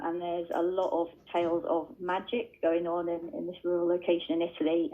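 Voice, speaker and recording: Lowish-voiced woman author on a radio call-in, chatty with lots of ums, muffled lo-fi line. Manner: chatty, many fillers and restarts. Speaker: children's author guest. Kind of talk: casual interview answers. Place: at home, joining remotely. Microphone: remote line into radio studio.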